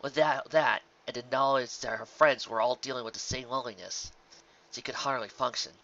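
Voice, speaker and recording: A man reading a fan fiction story aloud as narrator, in an expressive, dramatic scripted style. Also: mid-range voice, a little fast, lo-fi sound.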